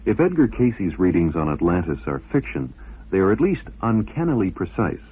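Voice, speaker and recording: A man's voice: low-pitched, sing-song, normal speed, a little hiss or hum. A man presenting scripted documentary narration on radio. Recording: narrow, band-limited old radio recording.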